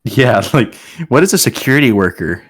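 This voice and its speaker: A man's voice: medium pitch, expressive, normal speed. A man chatting casually and comedically as a show host, with sarcastic, sweary remarks. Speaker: show host reading and mocking fan fiction. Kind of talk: casual comedic group chat. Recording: music behind the voice. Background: background music.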